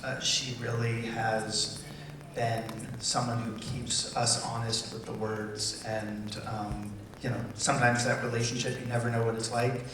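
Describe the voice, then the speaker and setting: A man speaking off the cuff as a television writer and producer on a panel, with halting, thoughtful phrasing, recorded in a big event hall. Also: mid-range voice. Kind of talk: unscripted answers at a public talk session. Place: large event hall, public presentation. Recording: very echoey hall, distant, noisy with interference.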